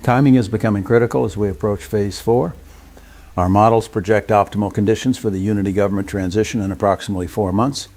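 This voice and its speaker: A man's voice: lowish voice, fairly even intonation, fast talker, darkish tone. A man playing a calculating corporate executive villain in an audio drama, speaking in formal business phrases. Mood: smug, businesslike.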